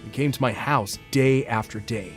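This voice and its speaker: A man's voice: medium pitch, moderate pace, natural voice. Male voice actor as jobless husband telling his story, mid voice, music underneath. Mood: matter-of-fact. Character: married man recounting losing his job. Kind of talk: scripted first-person story narration.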